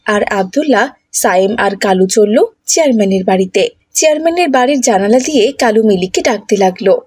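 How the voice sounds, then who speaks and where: A woman's voice: mid-range voice, animated, brisk, darkish tone, slightly rough, no room sound, studio quality. A woman narrating a scripted children's cartoon story.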